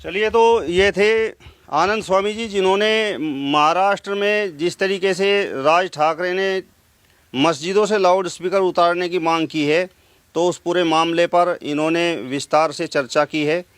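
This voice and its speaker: A man interviewing a holy man, asking measured, formal questions. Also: high-pitched, steady tone, fairly bright tone, clear, resonant tone, no room sound.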